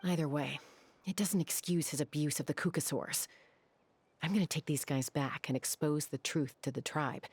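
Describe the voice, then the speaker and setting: Low-voiced, resolute young lawkeeper. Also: female voice, fairly even intonation, normal speed, breathy edge, dry recording. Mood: stern, resolute. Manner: firm, no-nonsense. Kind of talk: game voice acting.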